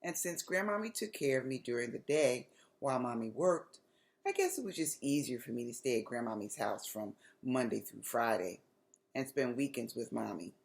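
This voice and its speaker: A woman with an American accent reading a children's story aloud expressively, with deliberate, dramatic phrasing. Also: very deep voice, big pitch swings, vocal fry.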